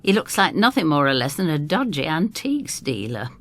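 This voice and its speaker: Casting: elderly amateur sleuth narrating, lowish voice, unhurried, animated, droll and protective. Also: female voice. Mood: wry, droll. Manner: wry, disapproving, droll. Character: blunt, protective, wry elderly woman narrator. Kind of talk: first-person dramatised storytelling.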